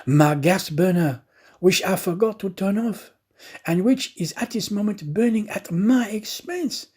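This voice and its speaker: A man voicing a talkative, excitable, naive French manservant in a storybook, chatty and emphatic. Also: high-pitched, unhurried, slightly rough, voice pushed up. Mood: worried, aggrieved.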